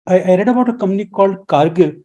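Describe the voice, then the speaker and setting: A man's voice: high voice, quick pace, warm tone. A man, a guest explaining things in a radio interview and posing rhetorical questions as he lectures. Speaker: guest expert.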